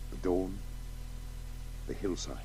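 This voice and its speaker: A man acting a brooding, halting writer in a radio play, narrating his own story with intensity. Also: deep voice, dark tone, soft background music, poor audio. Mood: musing. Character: brooding, wonder-struck male writer telling his story.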